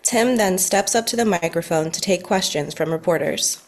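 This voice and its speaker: A woman's voice: lowish voice, moderate pace. A woman reading stage directions as the narrator of a scripted table read.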